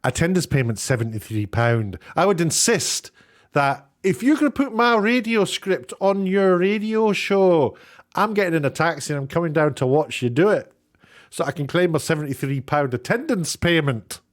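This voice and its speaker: A man's voice: on the high side, lively intonation, normal speed. A British man giving casual, opinionated commentary in a rambling, chatty way with sarcastic asides. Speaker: online video commentator. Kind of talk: casual opinionated commentary, reading out tweets and figures.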